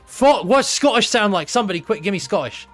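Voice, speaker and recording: A man's voice: high voice, expressive, a little fast, faint music behind. A man acting as game master in a live tabletop game, narrating turns in a chatty way and thinking rules through aloud. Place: home streaming setup.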